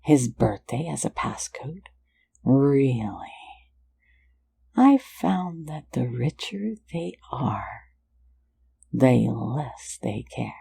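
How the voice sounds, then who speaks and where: A woman's voice: a bass voice, big pitch swings, very slow, gravelly, pauses often, dark tone, breathy edge, heavily acted. A woman whispering as a sly teasing phantom thief. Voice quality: mostly whispered. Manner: teasing, soothing, lulling listener to sleep. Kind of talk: ASMR roleplay.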